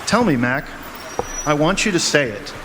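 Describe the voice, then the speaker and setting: Guy playing a mysterious gentle doctor in a radio play, fairly high voice, solemn, big hall reverb. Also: expressive, natural voice. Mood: gentle, probing. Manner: measured, solemn, formal phrasing. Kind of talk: stage radio drama acting. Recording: noisy live hall, long reverb.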